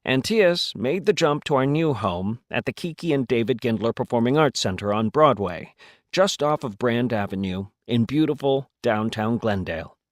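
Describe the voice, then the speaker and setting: Lively American man, dry close sound. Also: mid-range voice, normal speed, no echo. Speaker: host of an audio play series. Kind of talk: scripted podcast introduction.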